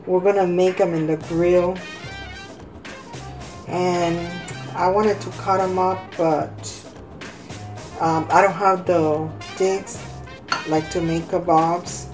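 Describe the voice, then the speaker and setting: Female home-cooking host, lowish hoarse voice, unhurried and halting, music behind. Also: little pitch movement. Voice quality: slightly hoarse. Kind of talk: casual cooking demonstration. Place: home kitchen, indoors.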